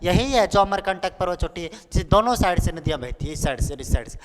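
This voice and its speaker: A young male teacher with a noticeably high-pitched voice drills exam material in a playful, colloquial manner. He repeats phrases over and over for his learners. The recording has long room reverb and some background noise. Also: lively intonation, brisk. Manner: repetitive, playful, colloquial, acts out pupils' questions. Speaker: online exam-prep geography teacher. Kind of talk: animated lesson with repeated drilling. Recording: noisy, echoing hall-like room with clicks.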